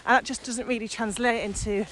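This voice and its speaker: A woman's voice: a little high, fairly even intonation, a little fast, a bit bright. A woman co-hosting a casual podcast, explaining ideas in conversation while out walking. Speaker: podcast co-host. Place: outdoors, on a village walk. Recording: noisy outdoor recording with ambient sound.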